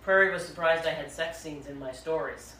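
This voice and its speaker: A woman's voice: low-pitched, fairly quick, bright, forceful tone, distant mic. Woman chatting in a noisy, echoey room. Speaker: writer in a writing group. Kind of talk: casual group chat.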